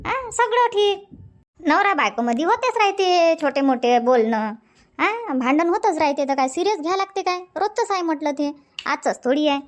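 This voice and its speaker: A woman's voice: extremely high pitch, lively intonation, moderate pace. A woman playing a chatty, nagging but loving village wife in a cartoon comedy, speaking rural Vidarbha Marathi with emphasis. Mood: chatty, explaining. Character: bickering but loving Indian village wife and mother-in-law. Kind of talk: cartoon comedy dub dialogue.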